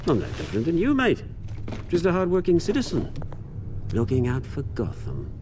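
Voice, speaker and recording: An actor playing a smug, mocking crook with a theatrical, sarcastic manner in a video game. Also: male voice, fairly high pitch, huge pitch swings, normal speed, noisy background. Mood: gloating. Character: smug mocking British crook posing as executive.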